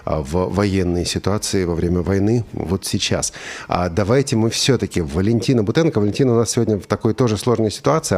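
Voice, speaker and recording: Low-voiced man hosting a talk show. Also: darkish tone. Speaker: host. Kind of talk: online talk show interview.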